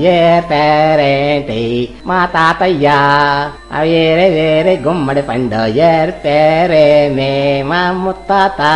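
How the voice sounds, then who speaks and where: A cartoon village master talks in a high voice with a rustic Telugu dialect. He is pompous and blustering, a boastful scold with a fond streak. Faint music runs behind the voice. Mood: playful chanting. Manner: scolding, pompous, colourful comic threats. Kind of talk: comic cartoon story dialogue.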